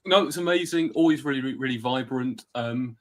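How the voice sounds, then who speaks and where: A man talks with a husky, cold-worn voice. He rambles enthusiastically through casual conversation with plenty of fillers. His pitch sits in an ordinary middle range. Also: fast talker. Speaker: podcast guest.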